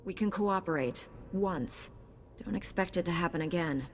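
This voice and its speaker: A woman's voice: on the low side, normal speed, slight background noise, natural voice. A woman playing a principled young Jedi knight in a video game, speaking firmly and resolutely. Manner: firm, resolute. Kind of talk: video game cutscene dialogue. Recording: long reverb, clear background ambience.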